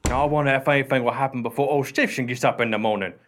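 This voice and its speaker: Man playing cheeky porter, mid-pitched and chatty. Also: rapid-fire, fairly bright tone, close to an everyday voice. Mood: gleeful musing. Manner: chatty, colloquial, wheedling. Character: cheeky, irreverent working-class male porter, amiably conspiratorial. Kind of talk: radio play acting.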